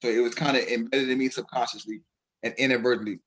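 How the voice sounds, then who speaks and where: A man's voice: mid-range voice, fairly even intonation, fast, fairly bright tone, breathy edge, poor audio. A man from Brooklyn with a Bajan accent, talking as a poet guest in a radio interview, with lots of restarts and fillers. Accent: Barbadian (Bajan). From Brooklyn, New York. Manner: hesitant, many restarts and fillers.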